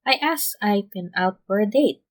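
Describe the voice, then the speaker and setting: A woman's voice: medium pitch, expressive, normal speed, lightly acted. A woman voicing a panicky, whiny teenage boy in a fan fiction audiobook. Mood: anxious, pleading. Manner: whiny, frantic.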